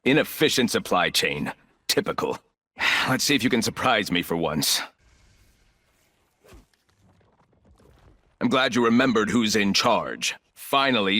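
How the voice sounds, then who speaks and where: An actor playing an arrogant, ruthless, calculating corporate villain in a video game, speaking in clipped, condescending lines. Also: male voice, on the low side, sharp tone, exaggerated voice. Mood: impatient, disdainful.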